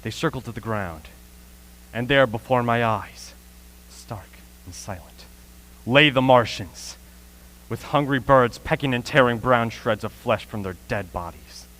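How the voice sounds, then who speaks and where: A man acting a solemn scholar who survived an invasion, narrating deliberately in a radio play. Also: mid-range voice, fairly bright tone, natural voice, rough recording. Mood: grave, intense. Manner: solemn, deliberate, with dramatic emphasis. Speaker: actor in a school radio play. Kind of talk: dramatic radio play monologue. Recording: faint hum and noise, live stream.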